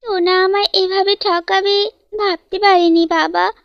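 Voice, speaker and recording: A woman's voice: very high-pitched, little pitch movement, a little slow, no echo, highs cut off, pitched above the performer's own voice. A woman voicing a lovesick young female bird in a cartoon, plaintive and pleading. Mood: hurt, resigned.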